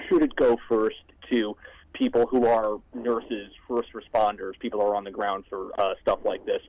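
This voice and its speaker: A man asking a reporter's question over a telephone line, phrasing it in a hesitant, halting way. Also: lowish voice. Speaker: news reporter. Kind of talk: spontaneous press question. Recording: dull phone conference line.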